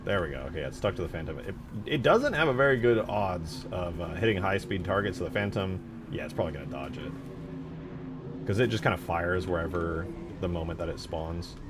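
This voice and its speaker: A man's voice: deep voice, expressive, brisk. A man giving casual commentary as he shows off a video game mod, with game sounds behind him. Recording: clear game vehicle noise behind voice. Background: video game vehicle and ambient sounds.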